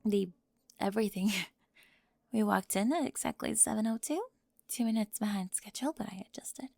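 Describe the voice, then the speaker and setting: A woman playing a high-strung, controlling teenage cheerleader, tearful and defensive, in an ASMR audio roleplay, flustered and emphatic with sighs and whispers. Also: moderate pace, gravelly, a little mellow, no room sound, clean studio sound. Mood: upset, confessing.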